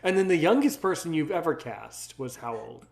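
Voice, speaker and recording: Higher-pitched man, emphatic podcast host. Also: expressive, normal speed, vocal fry. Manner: emphatic, repeats words and phrases. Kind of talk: casual interview chat.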